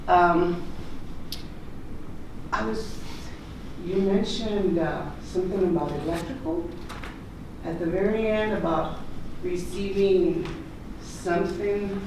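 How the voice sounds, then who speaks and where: A woman with a loud voice giving informal public comment as a resident, plain-spoken and direct. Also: on the low side, slow, pauses often, recorded from a distance. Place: council chamber at city hall. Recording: echoey meeting room from afar, clear noise.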